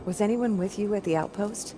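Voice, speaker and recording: An actress playing a calm, reassuring colony medic in a video game cutscene. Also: female voice, medium pitch, a little fast, background noise, close to an everyday voice. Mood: inquiring. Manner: reassuring, steady. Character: calm, soothing female colony medic questioning patients.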